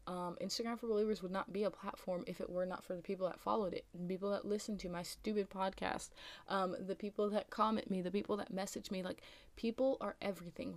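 Young american woman podcasting, bit raspy from waking up, mellow voice, lots of ums and likes, dry close sound. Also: on the low side, no echo. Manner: rambling, chatty, frequent ums and likes. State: slightly raspy morning voice. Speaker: podcast host. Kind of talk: casual solo podcast chat.

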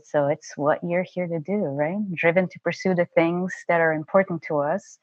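A woman's voice: sits low, dry recording, lo-fi sound. A woman hosting a podcast interview about human design, who talks in a chatty way and tags her points with "right?". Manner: chatty, says "right?" often. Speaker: podcast host.

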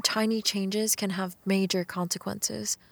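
A quick-witted modern designer in an audio drama. Her medium-pitched voice is recorded dry. She talks casually and bluntly, in everyday colloquial phrases. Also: flat delivery, gravelly, no echo. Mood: matter-of-fact. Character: quick-witted, frank modern woman designer, time traveller. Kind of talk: full-cast audio drama acting.

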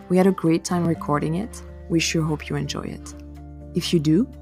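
Woman host, measured scripted intro, creaky low voice, light guitar underneath. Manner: measured, reading a prepared welcome. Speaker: podcast host. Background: soft guitar music.

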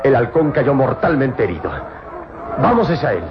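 An actor playing a grave, authoritative heroic adventurer in a theatrical radio serial. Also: male voice, mid-range voice, very animated, moderate pace, a loud crowd, very noisy, lightly acted. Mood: urgent. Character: heroic commanding man, mysterious adventurer, calm and resolute. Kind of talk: radio serial acting in Spanish. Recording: muffled old radio drama, loud music.

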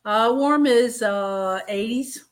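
A woman's voice: fairly high pitch, slow. A woman co-hosting a casual live-stream chat, adding short, upbeat remarks. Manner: brief, encouraging interjections.

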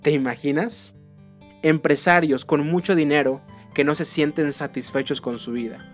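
Mexican guy doing a motivational podcast, lots of questions to you, quiet guitar in back. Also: fairly high pitch, fairly even intonation, normal speed. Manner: earnest, addresses listener directly with rhetorical questions. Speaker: podcast host.

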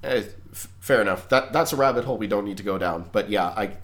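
A man co-hosting a podcast in casual chat, relaxed and measured with dry asides. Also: on the low side. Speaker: podcast co-host.

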